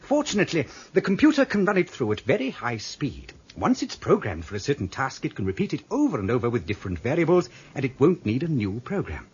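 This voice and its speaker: A man's voice: mid-range voice, wildly swooping pitch, fast talker, few pauses, a little mellow, slightly rough, full character voice. A man playing a computer programmer in a radio drama, explaining things patiently and chattily. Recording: dull lo-fi audio with faint noise.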